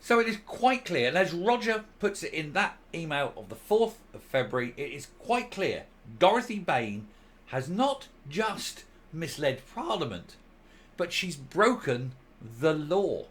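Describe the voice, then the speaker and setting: Man with animated, emphatic delivery. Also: fairly high pitch. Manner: emphatic, deliberate phrasing with stressed key words. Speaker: host of a commentary channel. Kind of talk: reading an email aloud with commentary.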